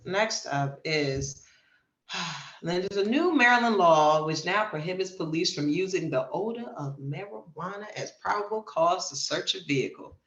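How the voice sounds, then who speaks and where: A woman's voice: fairly low pitch, a little slow, echoey room, muffled recording. A woman co-hosting a podcast, reading news items and reacting in casual, emphatic chat. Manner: animated, emphatic storytelling. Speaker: podcast co-host.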